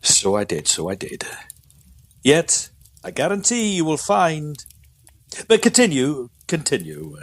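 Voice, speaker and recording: An actor playing an incredulous, pompous doctor in a period audio drama, blustering in a husky voice. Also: male voice, fairly high pitch, huge pitch swings, unhurried, pauses often, exaggerated voice. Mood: grudging.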